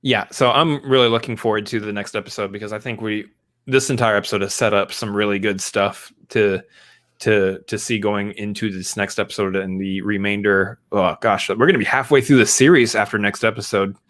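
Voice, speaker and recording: A man's voice: fairly low pitch, fairly even intonation, fairly quick, a bit bright. A man hosting a casual live stream, joking around and rambling through plugs. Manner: jokey, rambling, upbeat plugging. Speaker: podcast host.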